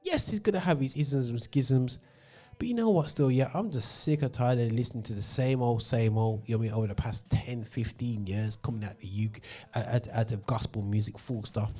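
A man's voice: medium pitch, warm tone. A man hosting a gospel radio show, ranting passionately with lots of fillers, recorded in a studio. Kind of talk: opinionated radio monologue.

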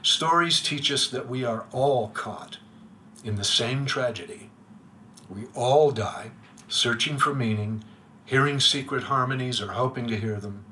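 An older man reads a reflective talk aloud in a measured, literary way. His voice is bright and forward, and it sinks softly at the ends of lines. A faint hum sits behind him. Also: medium pitch. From United States. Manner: measured and literary, dropping quiet at line ends. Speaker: speaker giving a lecture. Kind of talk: reading a prepared reflective talk. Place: room with a live audience.

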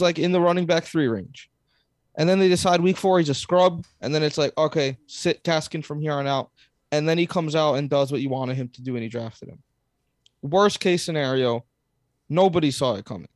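Male co-host, fairly high voice, teasing storyteller, dry sound. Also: dry recording. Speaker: podcast co-host. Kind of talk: casual fantasy sports chat.

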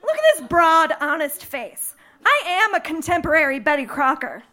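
A woman's voice: high voice, sing-song, moderate pace, bright, forceful tone, a little hiss or hum. A young woman from the Midwest telling a bawdy, playful personal story on stage in front of a live audience, laughing through her lines. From American Midwest.

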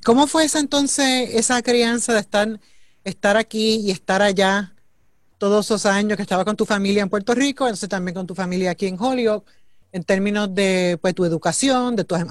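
A woman's voice: medium pitch, dry recording, studio quality. A woman who is a news director co-hosting an interview, asking questions in a casual and playful, joking way.

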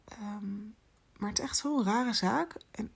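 A curious, self-mocking young reporter in a podcast drama. Her voice is husky and creaky at an ordinary female pitch. She talks casually, with a hesitant, confiding manner. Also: slow, full character voice. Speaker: actor. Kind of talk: fiction podcast, casual voice-message acting.

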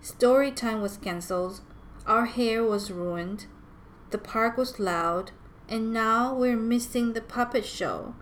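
A loving storybook mother, put on by a woman reader. She is theatrical and easily flustered, yet reassuring, with unhurried delivery. The recording carries a little noise. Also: fairly high pitch, fairly bright tone, voice pushed up. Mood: exasperated, sorry. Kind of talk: children's picture-book read-aloud.

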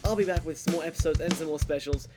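A man's voice: fairly high pitch, quick pace, loud music behind the voice. A man hosting a podcast in a polite, formal presenter style. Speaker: podcast host. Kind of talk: scripted-sounding podcast hosting.

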